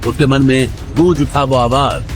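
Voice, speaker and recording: A man tells a scripted drama as its narrator. His voice is mid-pitched and delivered in a dramatic storytelling manner, with light music running underneath. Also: fairly even intonation, moderate pace.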